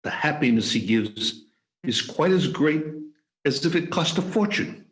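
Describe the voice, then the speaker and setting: Man as an old miser, halting and hesitant, lively high voice, radio play. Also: poor audio. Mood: wistful. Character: old miser, softening and remorseful, male. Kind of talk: amateur radio play reading. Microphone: video call.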